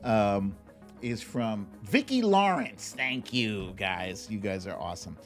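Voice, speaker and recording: Slow-paced man hosting a casual livestream. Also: lowish voice, big pitch swings, faint music behind. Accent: American. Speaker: host of a live online talk show. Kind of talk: casual livestream chat and commentary.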